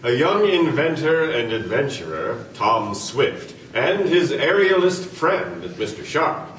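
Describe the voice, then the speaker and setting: A man reading scripted old-time radio drama narration in a theatrical storytelling manner. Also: a little high. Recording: echoey room, distant mic, background noise.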